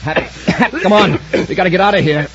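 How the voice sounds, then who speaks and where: An actor playing a calm, commanding space patrol commander, giving clipped orders in a 1950s radio serial. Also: male voice, high voice, fast talker, soft background music. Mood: urgent. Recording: dull vintage radio recording, hiss.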